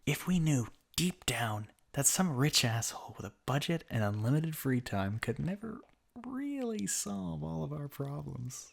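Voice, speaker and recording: A man's voice: a little high, big pitch swings, measured pace, vocal fry, full character voice. A man playing a bitter, mocking villain in an ASMR roleplay monologue, speaking theatrically with sardonic asides and often dropping toward a whisper. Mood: scornful. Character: bitter, mocking male villain revolutionary monologuing at a fallen hero. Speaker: voice actor in an audio roleplay.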